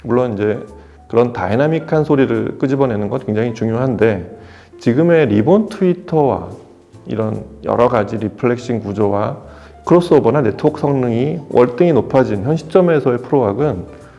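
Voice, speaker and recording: A man with a mellow, creaky voice at an ordinary male pitch reviews audio equipment. He explains things in a measured way, pausing for emphasis, with faint music behind him. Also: lively intonation, lo-fi sound. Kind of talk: explanatory product review talk.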